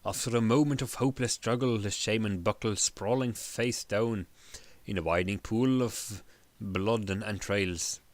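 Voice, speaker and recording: A man's voice: lowish voice, normal speed, no echo. A man reading pulp fantasy prose aloud as a theatrical narrator, sometimes stumbling over his words. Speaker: reader.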